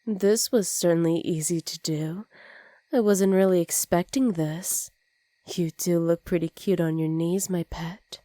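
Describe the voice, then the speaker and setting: Woman acting a smug, mellow-voiced supervillainess. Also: lowish voice, fairly even intonation, a little slow, dry recording, close to an everyday voice. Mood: amused, smug. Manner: smug, teasing, commanding, often dropping to soft whispers. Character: dominant female supervillain with superpowers, teasing and possessive. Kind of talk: ASMR roleplay.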